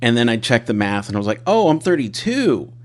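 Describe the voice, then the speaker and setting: A man's voice: mid-range voice, big pitch swings, a little fast. A man in his thirties hosting a podcast, chatting casually with a self-deprecating, rambling way of talking. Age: 30s. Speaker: podcast host. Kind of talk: casual interview chat.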